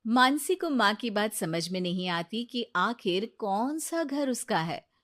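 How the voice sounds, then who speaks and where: A woman's voice: mid-range voice, lively intonation, a little slow, fairly bright tone. A woman reading scripted narration as a storyteller.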